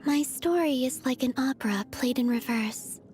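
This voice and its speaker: Woman acting a soft, high-voiced dreamy moon maiden. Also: soft background music, lightly acted. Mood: tender, wistful. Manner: soft-spoken, breathy, dreamy, measured. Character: gentle, wistful young moon maiden with otherworldly calm. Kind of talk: video game character voice lines, storytelling monologue.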